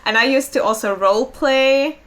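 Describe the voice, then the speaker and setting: Chatty Finnish woman, fairly high voice. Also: normal speed, fairly bright tone. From Finland. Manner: chatty, many likes and laughs. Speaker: podcast co-host. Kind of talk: casual chat.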